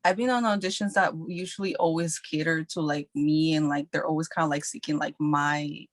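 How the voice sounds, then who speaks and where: A young woman talks in a loose, chatty way, her sentences full of filler likes. Her voice sits low for a woman, and the recording is dry and close with no room sound. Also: fairly even intonation, fairly quick, no room sound. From New York City. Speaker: guest actress. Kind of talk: casual podcast chat.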